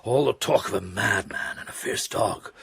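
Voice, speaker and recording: Gravelly, sardonic police chief inspector. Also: male voice, lowish voice, moderate pace, a bit bright, dry recording, full character voice. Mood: stern accusation. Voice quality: gravelly, husky. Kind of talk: radio drama acting.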